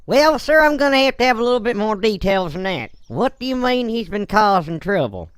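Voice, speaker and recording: A man plays a businessman and dad in a Minecraft roleplay. The voice is high and swoops freely, clean and close. He comes across folksy and blunt, with courteous, hesitant turns. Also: sing-song, brisk, clear, resonant tone, dry recording. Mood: blunt, surprised. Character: folksy male Texan businessman, ashamed father, blunt but courteous.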